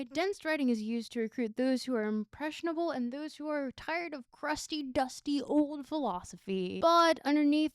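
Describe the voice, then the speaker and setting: A woman's voice: sits high, smooth, no echo, clean studio sound. A woman presenting a casual scripted video essay with a wry, slangy and emphatic delivery.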